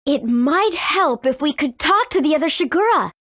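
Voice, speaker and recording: Very high, spirited girl. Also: normal speed, dry recording, clean studio sound. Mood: doubtful. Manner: excitable, direct. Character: spirited, questioning young adventurer girl. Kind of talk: video game voice acting.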